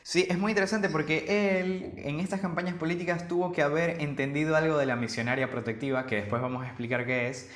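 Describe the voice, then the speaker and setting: A man's voice: a little high, fairly even intonation, quick pace, few pauses, fairly bright tone. Male podcast co-host from Venezuela, eager and rambling, recorded in a reverberant room. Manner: enthusiastic, rambling with many restarts. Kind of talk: casual chat. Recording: roomy echo.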